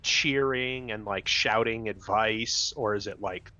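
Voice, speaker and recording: A man playing in a tabletop game, asking the game master questions slowly. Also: lowish voice, sharp tone, dry recording, muffled recording. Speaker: player. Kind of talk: casual tabletop roleplay.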